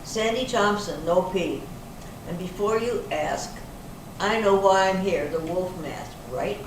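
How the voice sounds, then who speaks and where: A plain-spoken, indignant older woman under questioning, played by a woman in a stage reading. She is heard from far away in a very echoey room with clear noise. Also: mid-range voice, slow, close to an everyday voice. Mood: unfazed. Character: feisty, indignant older woman suspect, club activities coordinator. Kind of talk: amateur readers theater reading. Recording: very echoey hall, distant mic, noisy.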